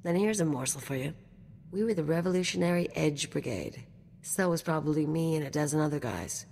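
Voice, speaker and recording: Game companion: brash anarchist gun-for-hire, low female voice, swaggering and teasing, heard in a long reverb. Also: expressive, brisk, gravelly, a little mellow, slight background noise. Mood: reflective, wry. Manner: swaggering, teasing, casual and slangy. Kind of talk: video game voice acting.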